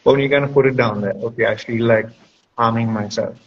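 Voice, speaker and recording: Man, mid-pitched voice, reflective counselor, conversational advice, muffled audio with noise. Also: steady tone, fast. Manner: reflective, explanatory, checks with "right?". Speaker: guest speaker, school counselor. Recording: dull lo-fi audio with clear background noise.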